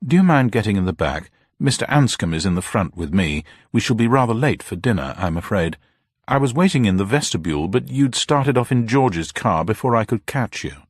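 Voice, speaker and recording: A man's voice: lowish voice, lively intonation, a little fast, husky, no echo, clean studio sound, voice pushed up. A man voicing a cool, matter-of-fact young woman in a radio drama reading. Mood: brisk, matter-of-fact.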